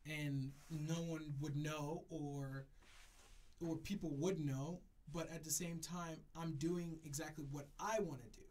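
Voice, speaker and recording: A man's voice: on the high side, steady tone, unhurried, darkish tone. A man from Jamaica, a DJ, talking in a casual interview and repeating himself to stress his points. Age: adult, moved to America as a child. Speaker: DJ, interview guest. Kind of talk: reflective casual interview talk.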